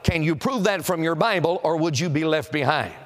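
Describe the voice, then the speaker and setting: A man's voice: a little high, animated, a little fast. A middle-aged or older man preaching an evangelistic sermon to a congregation, declaiming emphatically with solemn warning. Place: auditorium, preaching to a seated congregation.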